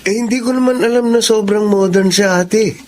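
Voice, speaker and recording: A man's voice: sits high, moderate pace, a little mellow, close to an everyday voice. A man playing an easygoing, playful married younger brother in a radio drama. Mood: defensive. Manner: laid-back, laughing, casual.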